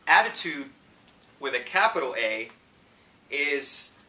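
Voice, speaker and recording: A bright-voiced man in his thirties with a strong New York City accent delivers a comic speech with punchy, playful timing. He sounds distant from the mic, his voice ringing in an echoey meeting room with a faint hiss underneath. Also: medium pitch, very animated, takes it slowly. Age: 30s. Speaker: speech contestant. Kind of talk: humorous prepared speech.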